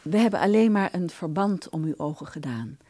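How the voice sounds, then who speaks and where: A woman's voice: lowish voice, expressive, a little fast, dark tone. Female actor for hospital nurse in radio play: reassuring, coaxing, slightly evasive, very varied melodic intonation. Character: soothing, evasive hospital nurse calming a patient. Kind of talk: Dutch radio drama acting.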